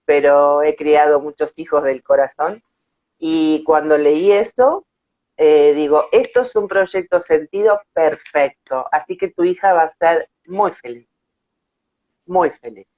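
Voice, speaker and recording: Slow-paced woman, dull lo-fi sound. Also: sits low. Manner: effusive, repeats words for emphasis. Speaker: host of an online interview show. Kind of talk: warm personal introduction and interview chat.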